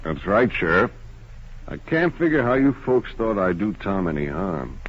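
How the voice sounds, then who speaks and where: An actor playing a sincere, wronged rodeo cowboy with a folksy, hurt manner in an old radio western. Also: male voice, low-pitched, sing-song, moderate pace, a bit bright, slightly rough, full character voice. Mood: protesting. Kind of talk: 1950s western radio acting. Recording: muffled, hissy old radio recording.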